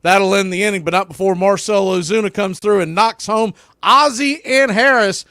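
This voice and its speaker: A male baseball announcer with a somewhat high voice calls the game live in short, clipped phrases. He is openly partisan and folksy, leaning on catchphrases. The sound is dry and close. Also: normal speed, sharp tone, no room sound. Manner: folksy, partisan home-team enthusiasm. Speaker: baseball play-by-play announcer for a fan stream. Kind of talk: live sports play-by-play commentary.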